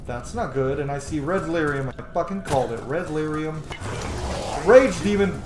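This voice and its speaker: A man's voice: on the high side, animated, normal speed, noisy background. A man giving casual, blunt, sweary commentary as he plays a video game. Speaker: gamer, video host. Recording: roomy sound with game music behind. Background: video game music.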